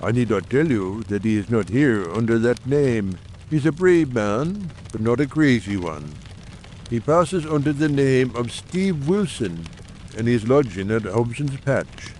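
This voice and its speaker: A man acts out an earnest, shrewd brother of a secret coal-town society in an audiobook drama. He speaks formally and persuasively at a medium pitch, confident in his case. A faint noise runs behind the voice. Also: sing-song, a little slow, darkish tone. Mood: confiding, assured.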